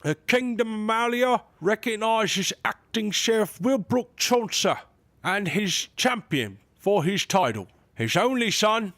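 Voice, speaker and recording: An actor playing a formal royal advisor who hesitates, stammers and clears his throat. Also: high-pitched, animated, a little slow, fairly bright tone, heavily acted. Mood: ceremonial. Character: fussy older male royal advisor, formal. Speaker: royal counselor (maester). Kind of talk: audio drama acting.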